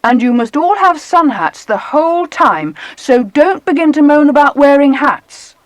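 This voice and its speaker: A woman playing a firm, cheerful mother in a children's audiobook drama, with a brisk, instructing manner. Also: sits high, expressive, dry recording.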